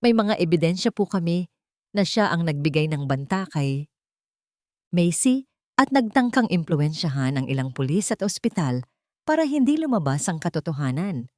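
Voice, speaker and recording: A woman's voice: lowish voice, sing-song, moderate pace, darkish tone, no echo. Woman as male investigator, Filipino accent, respectful, serious, reporting to his boss, dry sound. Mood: grave.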